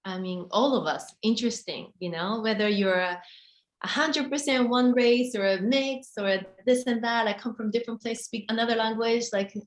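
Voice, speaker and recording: Woman in her thirties, soft-spoken, halting with many fillers, roomy echo. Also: on the high side. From Japan. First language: Japanese. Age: 30s. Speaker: interviewee, model and author. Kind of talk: casual interview answers.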